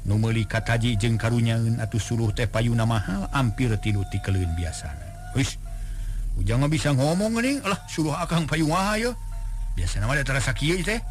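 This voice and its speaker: A man narrating a serialized folk tale in a steady, measured storytelling voice. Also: fairly low pitch.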